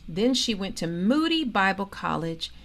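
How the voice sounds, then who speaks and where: Slow, expressive woman reading a children's story. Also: mid-range voice. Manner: expressive, deliberate storytelling delivery with emphatic pauses. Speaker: storybook reader, organisation president. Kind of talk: scripted children's story read-aloud.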